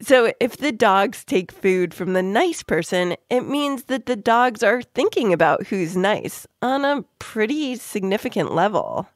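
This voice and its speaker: A woman's voice: medium pitch, very animated, a little slow, few pauses, no room sound, studio quality. A woman podcast host explaining things playfully in scripted narration for a children's science show. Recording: music behind the voice.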